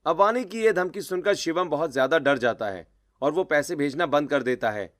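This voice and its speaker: Fairly high-pitched male story narrator. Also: expressive, moderate pace, a bit bright. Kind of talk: scripted Hindi story narration.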